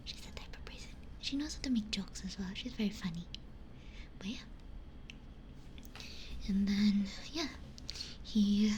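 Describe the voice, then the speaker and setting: Woman, breathy whisper, ASMR streamer, hushed unhurried chat, close binaural mic, noticeable background noise. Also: mid-range voice. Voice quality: whispered, breathy, mostly unvoiced. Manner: hushed, unhurried conversational musing with pauses. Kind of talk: ASMR, chatting with live chat. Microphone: close binaural ASMR microphone.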